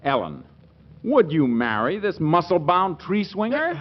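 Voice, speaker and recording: A man's voice: high voice, sing-song, a little slow, dull audio, crowd noise. A man acting in a 1940s radio comedy as a flustered, sarcastic, jealous husband, on an old recording. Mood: indignant. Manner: wry, blustering, nervous chuckling.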